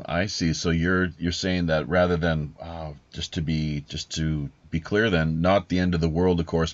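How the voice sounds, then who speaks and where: Male podcast host, low voice, casual halting questioner, dry and slightly dull recording. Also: no echo. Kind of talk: casual conversational interviewing. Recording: dull lo-fi audio.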